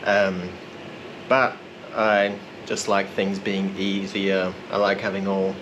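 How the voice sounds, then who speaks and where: A man's voice: low-pitched, slow, highs cut off. Rambling man vlogging over a hum. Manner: relaxed, rambling, self-deprecating. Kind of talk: casual vlog narration.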